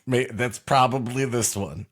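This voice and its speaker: A man co-hosting a podcast, trading deadpan jokes and laughing at his own quips. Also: medium pitch, measured pace. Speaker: podcast co-host. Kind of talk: casual comedic banter.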